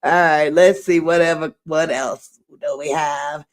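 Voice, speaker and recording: A middle-aged woman with a slightly raspy voice, hosting a casual late-night livestream and reading comments aloud in a sassy way. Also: sits low, unhurried. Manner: sassy, drawling, reads chat aloud. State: voice getting raspy. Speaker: livestream host.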